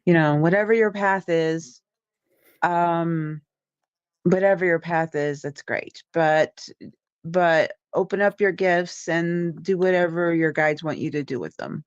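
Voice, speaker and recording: A woman reads a tarot card aloud for a livestream, taking her time and circling back with ums and restarts. Her voice sits a little low and comes through dull and lo-fi, close and dry with no room around it. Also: measured pace, clean tone, dry recording. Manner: meandering, with many ums and restarts. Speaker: tarot reader and co-host. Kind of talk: casual livestream card reading. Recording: dull lo-fi stream audio.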